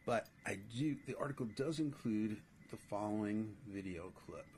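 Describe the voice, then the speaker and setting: A man's voice: fairly low pitch, expressive, normal speed, a little mellow, a little hiss or hum. A middle-aged man with a New York accent reading his own political essays aloud as a podcast host. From New York City.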